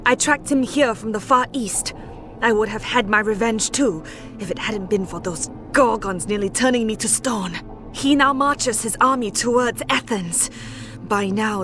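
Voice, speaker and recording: Woman doing vengeful warrior girl for fantasy game, earnest, slightly high voice, bit of music under it. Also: a bit bright, natural voice. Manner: earnest, resolute. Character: determined, vengeful young female warrior. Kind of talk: scripted fantasy game acting.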